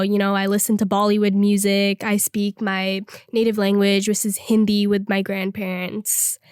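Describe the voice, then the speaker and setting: A woman's voice: mid-range voice, no room sound, clean studio sound. Teenage girl co-hosting a student podcast. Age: teens. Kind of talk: casual conversational podcast chat.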